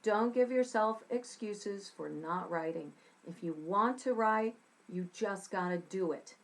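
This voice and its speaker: A middle-aged woman from Texas teaching scriptwriting in a chatty, animated way, talking to a webcam in a small room. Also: mid-range voice, measured pace. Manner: chatty, animated, gesturing with her hands. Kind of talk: casual talk to camera. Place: small room with a dark curtain behind.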